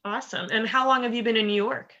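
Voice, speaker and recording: A woman's voice: fairly high pitch, steady tone, quick pace, pushed and bright, muffled recording. A woman hosting a casual podcast interview, upbeat and chatty, with plenty of fillers and "like". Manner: upbeat, lots of fillers and 'like'. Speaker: podcast host.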